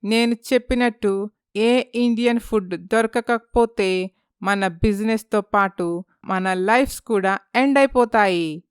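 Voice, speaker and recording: A woman playing an anxious, blaming businesswoman in an animated story, speaking insistently. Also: mid-range voice, slow pace, clear, resonant tone, no echo, studio quality, close to an everyday voice. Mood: reproachful. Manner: reproachful, insistent. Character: blaming, anxious businesswoman wife living abroad.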